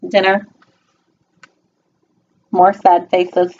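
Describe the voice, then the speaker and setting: A woman's voice: lowish voice, flat delivery, extremely slow, darkish tone, highs cut off. A woman reading a children's picture book aloud expressively, with simple instructive asides to young listeners. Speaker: storybook reader.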